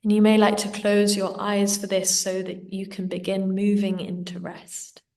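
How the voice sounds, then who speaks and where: A woman leading a guided meditation in a gentle, soothing, unhurried manner. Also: medium pitch, completely flat delivery, recorded from a distance. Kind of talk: slow guided meditation narration.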